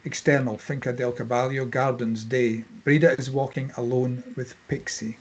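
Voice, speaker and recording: Husky male screenplay narrator. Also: mid-range voice, steady tone, normal speed, a little mellow. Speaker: stage directions reader. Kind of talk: scripted narration.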